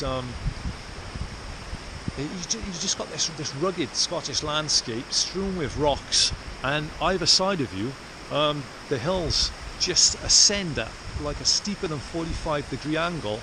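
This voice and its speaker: Man vlogging outdoors in loud noise. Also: fairly high pitch, measured pace. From South Wales. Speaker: vlogger, artist explorer. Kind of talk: casual chat to camera. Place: outdoors in rainy, windy hills.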